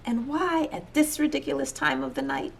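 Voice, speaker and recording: A man's voice: extremely high pitch, fairly even intonation, moderate pace, creaky, a bit bright, pitched above the performer's own voice, full character voice. A woman voicing a sleepy, sceptical male farmer in a children's storybook, questioning with disbelief. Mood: sleepy grumbling. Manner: questioning, incredulous.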